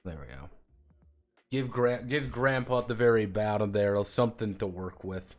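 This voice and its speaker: Man, lowish voice, casual, thinking aloud, mumbling numbers, humming, soft music in the background. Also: normal speed. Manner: muttering and humming while working out numbers. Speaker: streamer designing a tabletop game.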